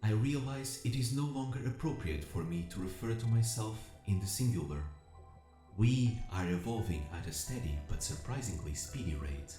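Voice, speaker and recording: A man playing an earnest, solemn combat robot who longs for freedom, narrating an audio drama in measured, formal, reflective phrasing. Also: fairly low pitch, lively intonation, mellow, lightly acted. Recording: echoing voice over music. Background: music.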